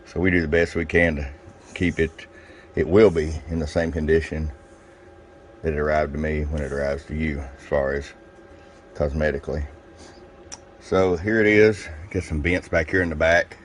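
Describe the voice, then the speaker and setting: A man giving a casual, step-by-step hands-on product review in a plain-spoken, folksy way, pausing between short phrases. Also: very deep voice, fairly even intonation, a little hiss or hum. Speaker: radio seller demonstrating a product.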